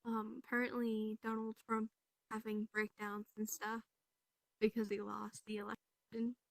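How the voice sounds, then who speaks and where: A woman's voice: a little high, monotone, a little slow, creaky, no echo. A young woman asking questions in a casual chat. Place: online voice chat in a virtual reality game.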